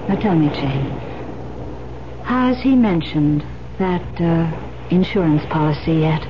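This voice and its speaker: A woman's voice: deep voice, slow. A woman playing an urgent, persuasive but guarded police detective in an old-time radio drama. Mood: grave, firm. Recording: muffled, noisy old radio broadcast recording.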